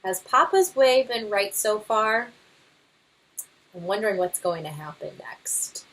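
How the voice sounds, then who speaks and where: Animated woman reading aloud to children. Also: medium pitch, poor audio. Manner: expressive, asks questions with pauses. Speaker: read-aloud narrator for children. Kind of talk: children's read-aloud, addressing young listeners.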